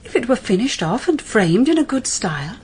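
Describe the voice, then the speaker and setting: An actress playing a genteel middle-aged Victorian mother in an audio drama, with a measured, admonishing manner. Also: fairly high pitch, sing-song, darkish tone, husky, exaggerated voice. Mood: wry, appraising. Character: brisk, genteel Victorian mother, practical and gently sceptical. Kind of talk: period audio drama acting.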